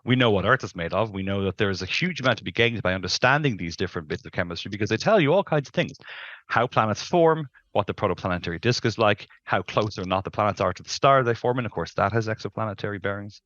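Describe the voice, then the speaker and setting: A man's voice: fairly low pitch, animated, fast, a bit bright, no room sound, muffled recording. A middle-aged man explaining things as an expert interview guest, emphatic and discursive, on a headset in a video call. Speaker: planetary scientist, interview guest.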